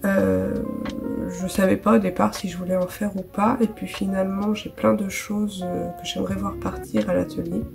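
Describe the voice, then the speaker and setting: A woman in her thirties from France, hoarse with a cold, talking softly and casually in her art studio vlog, with many fillers. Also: medium pitch, little pitch movement, moderate pace. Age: 30s. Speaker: illustrator. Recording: music playing behind.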